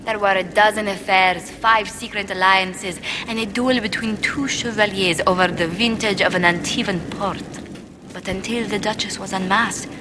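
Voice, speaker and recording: A woman's voice: mid-range voice, steady tone, lightly acted. Actress as a poised courtly noblewoman, noisy backdrop. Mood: composed intrigue. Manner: refined, formal, measured. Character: poised, courtly noblewoman diplomat, refined and wistful. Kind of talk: video game character dialogue.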